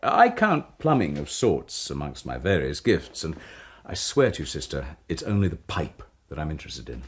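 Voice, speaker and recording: A man's voice: rumbling low, huge pitch swings, normal speed, exaggerated voice. An actor playing an easygoing Englishman who talks in a dry, teasing, offhand way. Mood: wry. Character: wry, easygoing Englishman, a man. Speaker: local Englishman, odd-job helper.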